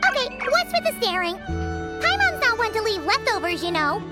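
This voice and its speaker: A tiny childlike game companion with a squeaky, extremely high voice. The delivery leaps around, chirpy and full of exclamation. Music plays behind it. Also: female voice, sing-song, moderate pace. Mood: worried, grudging. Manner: refers to self in third person, exclaiming. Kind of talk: video game voice acting.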